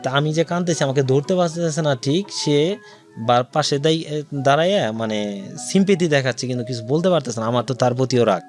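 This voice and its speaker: A man's voice: medium pitch, fairly quick, soft background music. A man with a Bangladeshi accent tells his own life story as an interview guest, in detail and with quoted dialogue.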